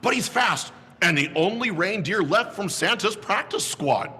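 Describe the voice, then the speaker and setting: A man reading aloud, voicing a calm young male helper who explains steadily. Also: high-pitched, a little slow, big-room sound, slight background noise, pitched above the performer's own voice, exaggerated voice. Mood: explaining.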